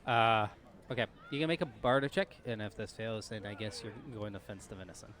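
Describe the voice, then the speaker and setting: A man working as game master, improvising narration and rules for a tabletop game while thinking aloud in a halting way. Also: fairly low pitch, a bit bright.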